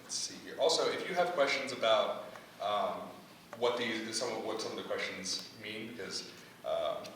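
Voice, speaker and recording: A man's voice: on the low side, normal speed, a bit bright. A man leading an informal feedback discussion as the playwright, speaking hesitantly and groping for words. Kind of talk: informal question-and-answer discussion. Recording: very echoey room, distant mic, faint noise.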